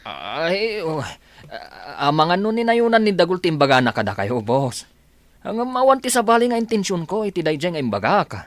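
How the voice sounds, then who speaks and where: A man's voice: sits high, sing-song, normal speed, no room sound. A man playing a nervous, deferential henchman in an Ilocano radio drama, with a hesitant, pleading manner. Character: nervous, deferential male henchman to a crime boss.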